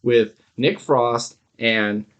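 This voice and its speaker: A man's voice: medium pitch, extremely slow, clean tone. Casual, rambling man with mid-range voice. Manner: informal, sweary, rambling. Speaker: podcast co-host. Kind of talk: casual review chat.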